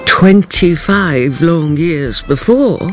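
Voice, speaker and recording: An actress playing a grave, knowing storyteller, narrating a radio drama with deliberate, theatrical phrasing. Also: female voice, low voice, expressive, faint music behind.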